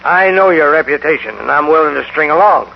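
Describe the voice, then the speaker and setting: An actor playing a blunt, impatient American frontier rancher with folksy cowboy talk and a gravelly voice, in radio western style. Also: male voice, a little high, lively intonation, brisk. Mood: disgruntled. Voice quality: gravelly, creaky. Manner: folksy cowboy dialect, grumbling. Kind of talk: 1950s radio western acting. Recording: muffled, noisy old radio broadcast.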